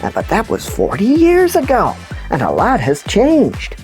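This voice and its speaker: A woman plays an excitable puppet news host in a children's comedy show. The delivery is emphatic and very lively in pitch, yet unhurried. Faint music sits behind the voice. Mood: enthusiastic. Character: excitable, chatty big-snouted puppet news anchor.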